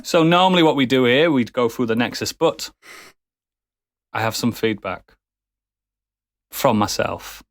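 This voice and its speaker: A man's voice: mid-range voice, animated, measured pace, pauses often. A man hosting a casual podcast, often switching into a mock-formal, theatrical reading voice. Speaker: podcast host.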